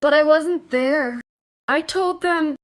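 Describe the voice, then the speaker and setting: Young schoolgirl, very high voice, frightened, protesting, defensive, crime audio drama. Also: slow. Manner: protesting, pleading. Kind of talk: crime radio play acting.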